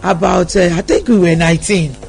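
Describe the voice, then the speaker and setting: A woman's voice: on the low side, steady tone, fairly quick, gravelly, darkish tone, soft background music. A middle-aged woman with a Nigerian accent telling personal stories as a radio interview guest, with an emphatic manner. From Lagos, Nigeria.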